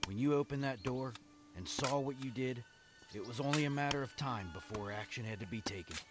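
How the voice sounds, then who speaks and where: A man playing a young executioner guard in an audio drama, solemn and commanding, speaking in measured, formal, grave phrases. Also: medium pitch, loud music behind the voice, natural voice. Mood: stern, commanding. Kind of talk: dramatic audio fiction acting.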